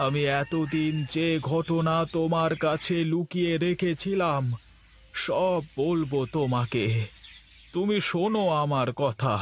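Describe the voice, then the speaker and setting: A man plays an anxious cartoon village husband with a fairly high voice. His delivery is earnest, dramatic and very slow, with faint noise behind it. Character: anxious village husband burdened by a guilty past. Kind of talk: Bengali cartoon voice acting.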